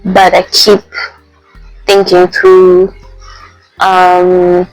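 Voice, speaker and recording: A young woman vlogging casually, speaking softly with a voice made raspy by a flu, pausing often with ums. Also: on the low side, completely flat delivery, no echo, faint music behind, low-quality recording. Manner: soft-spoken, halting with many ums. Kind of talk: casual personal vlog. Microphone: handheld mic.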